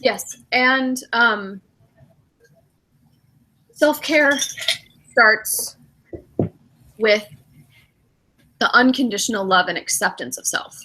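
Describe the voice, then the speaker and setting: A woman's voice: fairly high pitch, drawn-out words, long pauses, bright, forceful tone, rough recording. A middle-aged woman, a spiritual director hosting a casual podcast chat, reflective and acting out her own inner thoughts as she talks.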